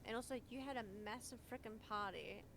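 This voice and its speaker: A woman's voice: on the high side, brisk, vocal fry, background noise. A woman hosting a live gaming stream, chatting informally and playfully with friends, with some swearing. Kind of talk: casual gaming stream chat.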